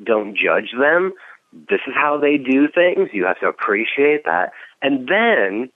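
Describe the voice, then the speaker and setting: A man's voice: mid-range voice, takes it slowly, no echo. A man from the Midwest, a comedian telling stories on a radio talk show, acting out the people he quotes. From Midwest US, outside Chicago. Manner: animated storytelling, acts out quotes. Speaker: comedian guest. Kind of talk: casual radio chat. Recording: tinny phone-like sound.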